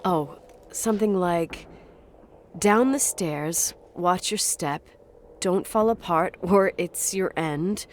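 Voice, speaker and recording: A woman's voice: on the low side, slow pace, slight background noise. A woman playing a wry, guarded character in a game, speaking in a hesitant, dryly sarcastic, naturalistic conversational way. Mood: recollecting. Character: wry, guarded adult woman recalling her childhood.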